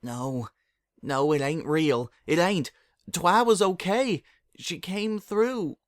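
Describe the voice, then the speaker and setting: A man's voice: on the high side, slow, no room sound, clean studio sound, pitched above the performer's own voice. A man voicing a distressed, tearful young country mare in a story reading. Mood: panicked denial.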